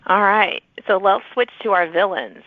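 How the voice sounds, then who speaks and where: A woman's voice: medium pitch, normal speed, no echo. A woman hosting a podcast, interviewing guests in a conversational way. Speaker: podcast host interviewing authors. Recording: thin phone-like line.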